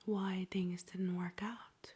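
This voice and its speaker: A woman guiding an ASMR meditation in a soft, breathy whisper with a gentle, soothing delivery. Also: lowish voice, flat delivery. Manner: slow, gentle, soothing delivery with long pauses.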